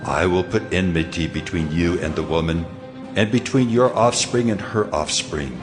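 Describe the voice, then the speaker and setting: Man doing a deep stern god voice, slow and grave, bit of music behind. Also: lightly acted. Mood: judging. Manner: grave, measured pronouncements. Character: the Lord God, stern commanding male voice. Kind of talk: audio drama acting.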